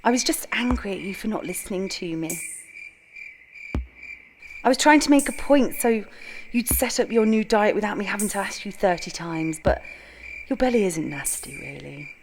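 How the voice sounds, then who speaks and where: A woman's voice: mid-range voice, expressive, normal speed, creaky, noisy background. A woman playing a tired, candid girlfriend, affectionate yet needling, in an intimate audio drama. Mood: conciliatory.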